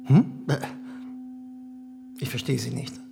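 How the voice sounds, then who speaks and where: Cynical privy councillor, condescending, pitch swooping up and down. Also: male voice, animated, slow, background noise, full character voice. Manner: smooth, condescending, hesitant fillers. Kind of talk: radio play acting.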